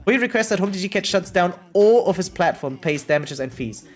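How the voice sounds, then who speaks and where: A streamer with a medium-pitched voice giving casual reaction commentary, reading aloud and chatting in an animated, exclamatory way, often checking in with "right?". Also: a little fast. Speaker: variety streamer. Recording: music behind the voice. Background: music.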